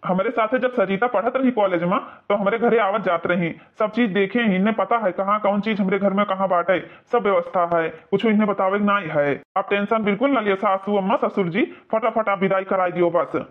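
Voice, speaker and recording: A man's voice: sits high, a little fast, hardly any pauses, close to an everyday voice. Man doing a pushy indignant young groom. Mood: reassuring. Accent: Awadhi. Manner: indignant, argumentative. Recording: muffled lo-fi sound.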